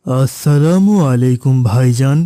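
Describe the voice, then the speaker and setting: A man voicing a gentle, patient father in a cartoon, speaking in a reassuring way. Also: medium pitch, unhurried, dry recording, lightly acted. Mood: polite, explaining. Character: gentle, reassuring middle-aged village-born father. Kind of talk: cartoon character dialogue.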